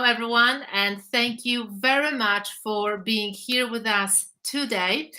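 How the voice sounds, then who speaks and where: A woman's voice: on the high side, sharp tone. A woman hosting an online workshop over a video call, speaking with formal, enthusiastic welcomes. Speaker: workshop host.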